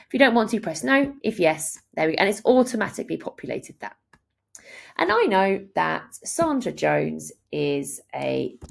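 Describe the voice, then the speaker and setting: A woman in her thirties giving an explanatory, step-by-step software walkthrough as a tutorial presenter. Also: medium pitch, creaky. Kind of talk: instructional screen-share walkthrough.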